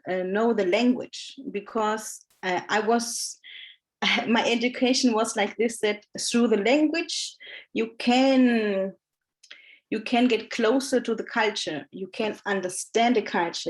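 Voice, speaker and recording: Slow, hesitant woman. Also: medium pitch. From Germany. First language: German. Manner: hesitant, thoughtful, many pauses and fillers. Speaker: webinar panelist. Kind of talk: panel discussion answer.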